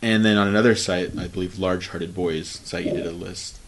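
A man with a very deep voice poses a long, winding interview question. He hesitates and circles as he builds toward his point. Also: steady tone, fairly quick, a little hiss or hum.

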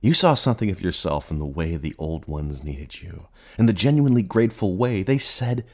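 A man performing a radio play as a merciless, taunting inner narrator, speaking in literary second person with theatrical, accusing emphasis and a husky voice. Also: low-pitched, expressive, a little fast, a little mellow. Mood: bleak, dramatic.

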